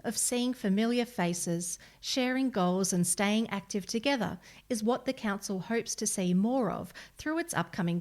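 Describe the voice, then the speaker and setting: A woman's voice: mid-range voice, a little mellow. A woman with an Australian accent reads a scripted local news article as a volunteer narrator.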